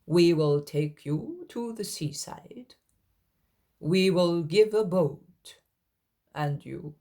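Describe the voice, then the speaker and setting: A woman's voice: fairly low pitch, lively intonation, very slow, dark tone, heavily acted. A woman doing a harsh, domineering villain. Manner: commanding, scornful. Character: harsh, domineering older man, furious villain.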